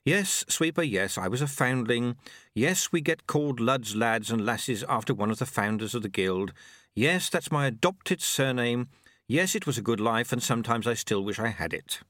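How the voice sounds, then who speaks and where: A nervous young novice monk, as a male narrator puts him on, earnest and deferential. His pitch is raised and lively, and the tone is bright. He speaks hesitantly and with awe, as a storybook character. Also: normal speed, no room sound, clean studio sound. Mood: dejected, resigned.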